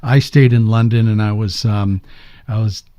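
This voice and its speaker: Mellow-voiced American man in his sixties. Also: fairly low pitch, normal speed. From upstate New York, USA. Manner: relaxed, anecdotal, laughs between stories. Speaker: podcast guest. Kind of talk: conversational storytelling.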